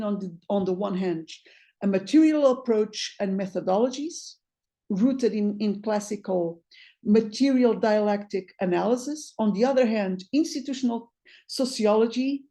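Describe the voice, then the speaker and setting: A woman's voice: medium pitch, warm tone. A woman from Portugal, a native Portuguese speaker with a Portuguese accent, giving an academic talk over a video call, reading from notes with frequent restarts. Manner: halting, many restarts. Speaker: guest lecturer.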